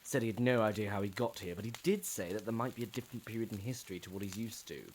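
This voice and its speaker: A man plays a serious scout delivering reports. His voice sits slightly low and his manner is grave and informative. The fan drama recording has a little noise. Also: very fast, close to an everyday voice. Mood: earnest. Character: earnest male scout reporting what he overheard. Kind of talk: fan audio drama acting.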